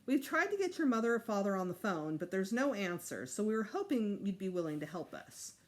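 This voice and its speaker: Genial storybook principal, a man, read by a woman, polite, patient, lively intonation. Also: mid-range voice, close to an everyday voice. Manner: polite, measured.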